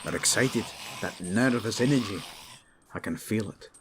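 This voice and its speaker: A man's voice: fairly low pitch, animated, moderate pace, background noise. A man playing a weary, earnest knight-captain in a fantasy audio drama, speaking in a subdued, sincere and hesitant way. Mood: subdued, worried.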